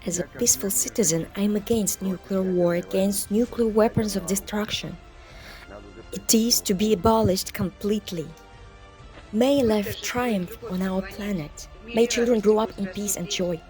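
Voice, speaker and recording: Woman, voice-over interpreter; steady, earnest read, warm timbre, soft music bed. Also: medium pitch, moderate pace.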